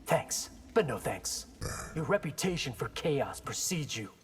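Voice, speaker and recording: An actor playing a wary, principled young-hearted fighter in a video game, husky-voiced, blunt and dismissive. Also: male voice, medium pitch, big pitch swings, a little slow, big-room sound, a little hiss or hum.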